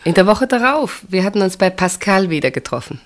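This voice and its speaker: Woman acting reserved tender woman, mid-range voice, measured earnest delivery, intimate radio drama. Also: mid-range voice, lively intonation, natural voice. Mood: reminiscing. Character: reserved, tender woman named Marianne, quietly devoted. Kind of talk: intimate German radio play dialogue.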